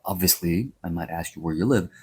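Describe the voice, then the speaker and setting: A man from Greece, giving measured, opinionated commentary. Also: low-pitched, breathy edge. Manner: measured, explanatory, opinionated asides. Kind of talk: scripted commentary to camera.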